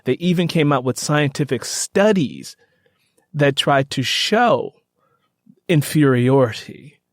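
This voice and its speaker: A man hosting a podcast interview, speaking conversationally with halting, repetitive phrasing. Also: fairly high pitch, expressive, no room sound, studio quality. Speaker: podcast host.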